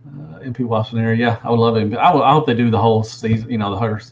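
A man's voice: mid-range voice, gravelly, lo-fi sound, a little hiss or hum. A man in his thirties with a Southern drawl, chatting casually on a livestream from a room at home on a headset, rambling and saying "man" a lot. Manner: relaxed, rambling, drawn-out fillers, says "man" a lot. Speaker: toy reviewer answering live chat. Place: small room at home, indoors. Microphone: gaming headset.

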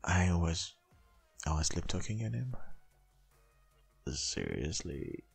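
Man acting a very deep, husky boyfriend. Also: huge pitch swings, takes it slowly, faint music behind, heavily acted. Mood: teasing, sleepy. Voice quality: husky, gravelly, breathy. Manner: soft, intimate murmuring, coaxing. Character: doting, protective, gently dominant boyfriend. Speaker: ASMR roleplay performer. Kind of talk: boyfriend roleplay ASMR, intimate and slow.

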